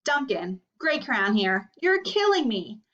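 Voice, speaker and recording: An exhausted gray crayon from a picture book pleads in exasperation , read by a woman. The voice swoops high with heavy emphasis. The recording is dull and a bit far off. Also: sing-song, slow, a bit bright, full character voice. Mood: exasperated. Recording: dull, distant microphone.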